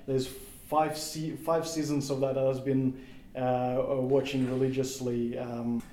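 A man with a Russian accent, his first language Russian, answers as a podcast guest, slowly building his thoughts and circling back to restart phrases. His voice sits in an ordinary middle register. The recording has a noticeably echoey room sound. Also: medium pitch, little pitch movement, warm tone. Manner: thoughtful, hesitant, searches for words with many restarts. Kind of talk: casual podcast interview.

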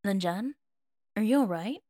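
A woman's voice: medium pitch, animated, measured pace, mellow. A woman voicing a young emperor in an audiobook, a tender and impulsive lover with a pouty, groaning, urgent way of talking. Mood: urgent, sincere.

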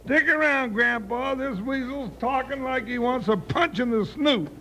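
Blustery, loyal Old West deputy, squeaky-high, folksy, vintage radio drama with faint noise. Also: male voice, unhurried, pushed and bright, exaggerated voice. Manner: folksy, exasperated, slangy cowboy talk. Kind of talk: 1950s radio western acting. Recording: noisy old-time radio recording.

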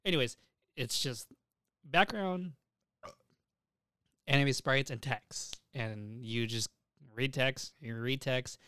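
A man's voice: medium pitch, takes it slowly, long pauses, bright, forceful tone, clean tone, no room sound, clean studio sound. A young man hosting a casual podcast, enthusiastic and opinionated, with slangy talk and frequent swearing. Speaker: podcast host.

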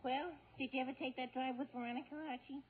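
An actor playing a wry, unhurried teenage boy sidekick in 1940s radio comedy style. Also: very high-pitched, flat delivery. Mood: curious. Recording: muffled, hissy, echoey 1940s radio recording.

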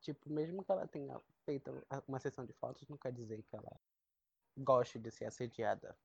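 A woman co-hosting a casual fan podcast, chatty and quick to laugh as she explains things. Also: extremely low pitch, creaky, husky, no room sound. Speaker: podcast co-host. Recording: dry muffled lo-fi audio.

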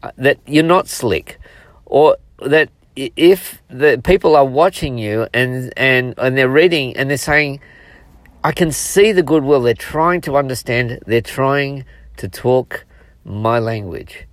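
Middle-aged man, halting solo podcast talk. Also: medium pitch, no echo. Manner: halting, conversational, with repeated words and pauses. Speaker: podcast host. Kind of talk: reflective solo podcast talk, storytelling with a lesson.

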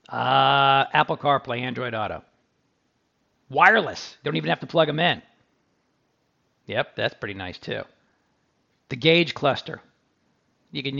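A man's voice: a little high, gravelly, a bit bright, dull audio. A man hosting a car review podcast, talking casually and with enthusiasm, full of asides to his listeners.

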